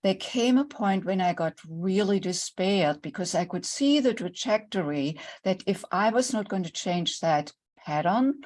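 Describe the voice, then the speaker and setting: A woman's voice: on the low side, darkish tone. German-accented older woman, earnest storyteller. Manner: earnest, reflective storytelling with pauses. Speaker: guest, intimacy coach. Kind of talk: online summit interview.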